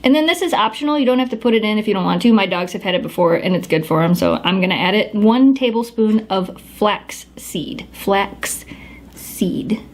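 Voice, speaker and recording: A woman's voice: medium pitch, expressive, normal speed. A woman hosting a casual home cooking how-to in her kitchen, chatting to her dogs as she works. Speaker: home cook demonstrating a recipe.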